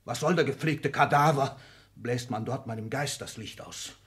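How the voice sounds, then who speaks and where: A man's voice: mid-range voice, moderate pace, a bit bright, natural voice. An older man playing an ailing, domineering old industrialist in a 1960s German radio drama, commanding and declamatory with clipped, emphatic phrases. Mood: indignant. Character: ailing, domineering old industrialist patriarch, irascible, power-hungry.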